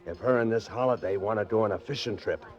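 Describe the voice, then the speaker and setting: A man's voice: fairly low pitch, quick pace, faint music behind, natural voice. An actor playing a sly, slangy tough-guy henchman who taunts like a wise guy in 1940s radio drama style. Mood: mocking. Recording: muffled vintage 1940s radio recording.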